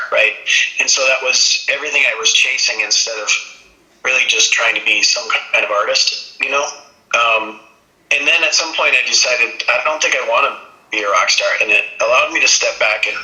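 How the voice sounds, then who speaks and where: A man from Minnesota, a singer-songwriter guest, talking in a relaxed, reflective way about his work. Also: medium pitch. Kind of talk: casual interview. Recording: echoey room.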